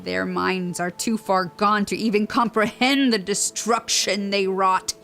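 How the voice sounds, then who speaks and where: An actress playing a grave, defiant survivor of a lost civilization in an audio drama, giving solemn, forceful warnings. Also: female voice, medium pitch, fairly even intonation, unhurried, creaky, faint music behind. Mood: grave, bitter. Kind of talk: scripted audio drama acting.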